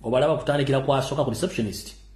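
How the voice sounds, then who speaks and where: A man's voice: mid-range voice, rapid-fire, darkish tone. Emphatic Ugandan man in an echoey room. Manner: emphatic, repeating points. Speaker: online commentator. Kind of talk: live stream commentary.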